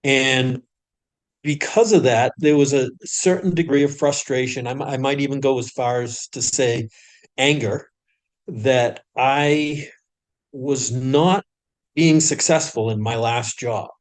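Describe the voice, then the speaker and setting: A middle-aged man with an average-pitched voice speaks as a podcast guest. He talks reflectively and carefully, pausing and restarting his sentences as he works through his thoughts. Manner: thoughtful, hesitant, with frequent restarts and pauses. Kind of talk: reflective conversational storytelling.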